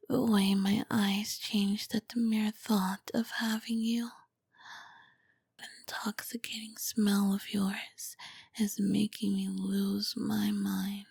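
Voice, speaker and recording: Casting: female performer as charming, dangerous royal vampire queen for ASMR roleplay; breathy whispered delivery, unhurried, courtly and flirtatious. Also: medium pitch, a little mellow, no room sound, full character voice. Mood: hungry, menacing. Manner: slow, formal, flirtatious phrasing. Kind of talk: ASMR roleplay, scripted and intimate.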